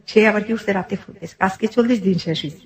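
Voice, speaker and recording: A woman's voice: mid-range voice, very fast. A middle-aged woman with a Bangladeshi accent telling a personal story in conversation, earnestly and in detail, often quoting dialogue. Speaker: interview guest telling her life story.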